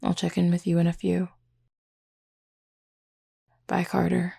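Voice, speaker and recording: Woman playing a gravelly, husky flirty werewolf woman. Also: fairly low pitch, completely flat delivery, a little slow, dark tone, heavily acted. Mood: wry, casual banter. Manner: teasing, drawling, playfully commanding. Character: flirty, teasing, confident female werewolf lover. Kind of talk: intimate audio roleplay acting.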